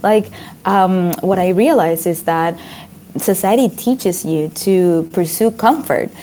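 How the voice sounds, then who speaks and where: A Venezuelan woman in her late twenties or thirties, a Spanish speaker, telling her story as an interview guest in a lively, laughing way. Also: fairly low pitch, slow, slight background noise. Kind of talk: conversational interview.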